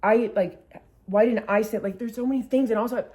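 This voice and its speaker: A woman's voice: a little high, fairly even intonation, a little fast, dark tone. Candid young woman telling a personal story. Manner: candid, emotional, heavy use of filler words. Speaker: vlogger. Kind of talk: casual storytelling vlog.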